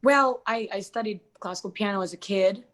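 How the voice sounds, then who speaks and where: A woman's voice: mid-range voice, brisk. A woman in her twenties, a jazz singer and interview guest, talking casually with lots of fillers and restarts. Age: 20s. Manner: chatty, many fillers and restarts.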